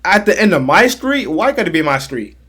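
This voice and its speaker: Brief: man hosting a reaction video, fairly high voice, very lively pitch, slangy. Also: fairly quick. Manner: excitable, slangy interjections. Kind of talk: casual reaction commentary.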